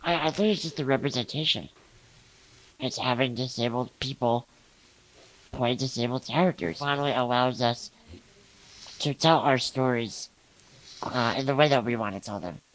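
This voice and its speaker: A man's voice: mid-range voice, normal speed, long pauses, a bit bright, poor audio. A man giving a conversational interview answer.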